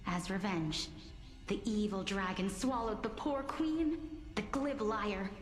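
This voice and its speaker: An actress playing a playful young woman who tells dark legends in a theatrical, expressive manner for a video game. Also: mid-range voice, takes it slowly, close to an everyday voice. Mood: grave, ominous. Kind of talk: video game voice acting, dramatic tale narration. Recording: roomy reverberant sound with music behind.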